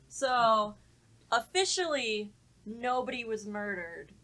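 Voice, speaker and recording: A woman with a bright, clear-cut tone who talks slowly at a middling pitch. She tells stories in casual conversation with an offhand, flippant manner. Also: expressive. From Florida. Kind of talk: casual chat and personal storytelling.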